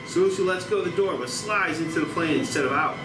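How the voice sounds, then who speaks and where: A man's voice: on the high side, fast talker, over loud music. A man reading scripted stage directions as narrator at a table read, recorded at a distance in an echoey, noisy room. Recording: echoey room, distant mic, loud noise.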